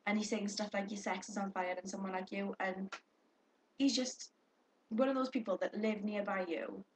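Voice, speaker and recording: A woman's voice: mid-range voice, fairly even intonation, normal speed, background noise. A young woman chatting casually to her webcam, swearing freely and talking to viewers. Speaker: vlogger.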